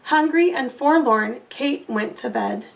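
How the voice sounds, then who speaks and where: A woman reading a storybook aloud expressively for children, pausing to put questions to listeners. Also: high voice, vocal fry, far from the mic, low-quality recording. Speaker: storybook reader.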